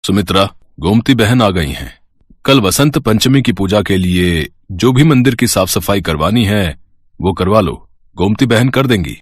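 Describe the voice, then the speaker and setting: A man's voice: on the low side, expressive, unhurried, husky, no room sound. A man playing a considerate, generous employer who speaks reassuringly in a cartoon story. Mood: helpful. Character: considerate, generous male warehouse boss.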